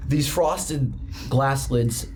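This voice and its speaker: A man's voice: medium pitch, extremely slow, over a noisy crowd. A man narrating a tabletop game as game master, describing scenes steadily with the odd filler. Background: music.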